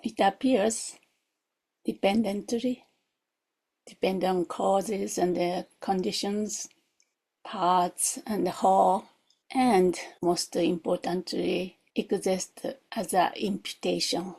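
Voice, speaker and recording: Female meditation teacher. Slow, reflective, questioning delivery in short phrases; soft-edged, mellow tone with a creaky grain. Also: mid-range voice, fairly even intonation. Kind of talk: guided meditation, gentle instruction.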